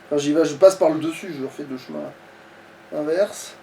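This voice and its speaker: High-pitched man, distant from the mic. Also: brisk, dark tone. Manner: casual, swearing, talking to the game. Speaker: let's play player. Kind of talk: casual gaming chat. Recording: distant from mic, background noise.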